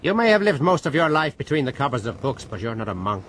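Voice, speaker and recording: An actor playing a wily old sailor, knowing, teasing and familiar, in a radio drama. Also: male voice, medium pitch, big pitch swings, fast talker, a bit bright, slight background noise. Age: older.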